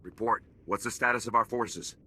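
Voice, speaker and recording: An actor playing a stern, resolute army major in a video game, firm and commanding in clipped phrases. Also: male voice, fairly low pitch, steady tone, moderate pace, sharp tone. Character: stern, resolute male Republic military major, squad leader. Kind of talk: video game cutscene acting.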